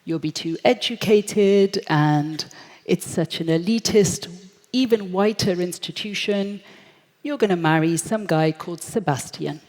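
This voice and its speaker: A woman's voice: fairly low pitch, a little mellow. A middle-aged British woman giving a reflective stage talk in a large auditorium. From Britain. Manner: measured, reflective storytelling. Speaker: speaker. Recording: echoey hall.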